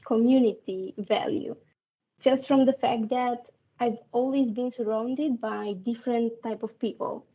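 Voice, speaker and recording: A woman in her thirties from Romania, speaking English as a podcast guest, laughing often and pausing with fillers. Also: fairly high pitch, dull audio. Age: 30s. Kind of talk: casual interview chat.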